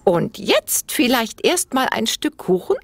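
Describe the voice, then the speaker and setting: A woman's voice: fairly high pitch, big pitch swings, measured pace, gravelly, soft background music, pitched above the performer's own voice, heavily acted. A woman voicing a cheerful, doting grandmother in her seventies, playful and affectionate, in a children's audio story. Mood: friendly.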